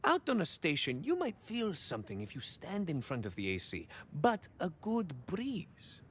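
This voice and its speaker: Video game hero: swaggering male cyborg soldier, Mexican-American accent, very animated melody, hesitant rambling asides peppered with Spanish. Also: a little high, normal speed, breathy edge, exaggerated voice. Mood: wistful. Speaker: playable game character. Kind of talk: video game idle monologue acting.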